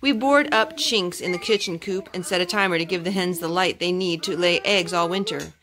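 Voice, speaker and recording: A young woman reading a children's picture book aloud at home, phrase by phrase in a measured, careful way. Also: lowish voice. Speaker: storytime host reading to her children. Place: carpeted room at home. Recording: clear background noise and clicks, homemade.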